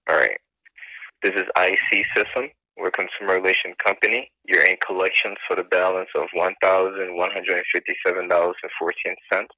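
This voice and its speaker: A man working as a debt collection agent on a phone call, giving a scripted pitch in an insistent, repetitive, formal manner. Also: little pitch movement, a little fast, sharp tone, no echo. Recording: phone line. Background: telephone call.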